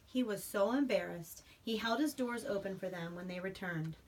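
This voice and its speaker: A woman reading a picture book aloud to a young child in an expressive storybook style, with simple chatty asides. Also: mid-range voice, normal speed. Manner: animated storybook reading, simple child-directed explanations and prompts. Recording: noisy, distant home microphone. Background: room noise and a young child's voice.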